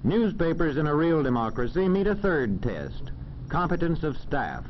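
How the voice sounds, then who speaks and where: High-pitched, lively American male narrator. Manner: formal, measured, didactic. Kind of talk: 1940s educational film narration. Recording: dull, faintly noisy old film soundtrack.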